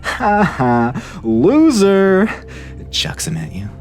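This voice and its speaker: A man's voice: sits high, huge pitch swings, drawn-out words, soft background music, exaggerated voice. A man voicing an eager, clingy, apologetic online chatter, over-friendly and wheedling, in a dramatized reading of chat messages. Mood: playful, gloating.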